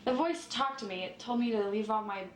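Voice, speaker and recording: A woman playing a credulous, uneasy client reporting a haunting in a student TV sitcom, speaking in halting phrases. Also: fairly high pitch, natural voice. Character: nervous, credulous female haunted-house client. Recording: roomy, noisy background.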